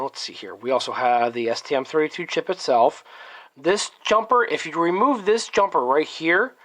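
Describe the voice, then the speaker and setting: A man's voice: fairly high pitch, fairly bright tone. A man still getting over a cold, his voice a little off, casually walking through his electronics project at a workbench while thinking aloud. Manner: thinking aloud, frequent ums and self-corrections. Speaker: electronics hobbyist presenter. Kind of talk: casual hands-on tech walkthrough.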